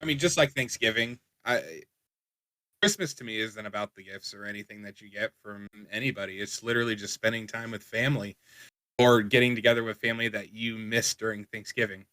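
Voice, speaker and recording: A man's voice: lowish voice, brisk, pushed and bright, dry recording, rough recording. A young man co-hosting a podcast, speaking earnestly and reflectively. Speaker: co-host. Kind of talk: casual chat.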